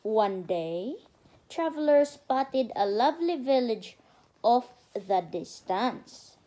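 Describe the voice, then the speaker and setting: A woman's voice: fairly high pitch, animated, takes it slowly, warm tone, rough recording. A woman, a mother reading a bedtime story aloud and talking a small child through it with instructive prompts. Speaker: mother reading to her toddler. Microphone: phone camera.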